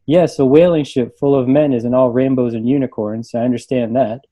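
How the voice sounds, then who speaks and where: A man reading aloud and chatting casually in a group, amused and quick to chuckle. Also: medium pitch, fairly even intonation, mellow. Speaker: librarian reading book reviews aloud.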